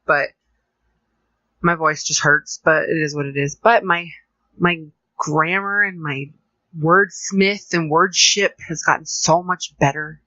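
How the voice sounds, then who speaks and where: A woman with a bright, tired, sore voice rambles in a chatty, animated way. She co-hosts a casual podcast. The sound is dry and dull, from a lo-fi mic. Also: low voice, takes it slowly, no room sound. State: voice sore from phone work. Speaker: podcast co-host.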